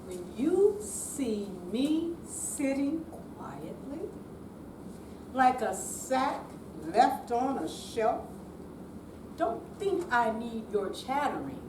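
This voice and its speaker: An older woman giving a slow, deliberate, theatrical dramatic reading on stage. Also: high voice. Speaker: amateur readers theater performer. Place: senior center room, live reading. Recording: echoey room, distant mic, clear noise.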